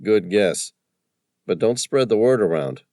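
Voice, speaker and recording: Calm low-voiced investigator guy, dry and understated, man doing it. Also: unhurried, no room sound. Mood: wry. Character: calm, wry adult male investigator.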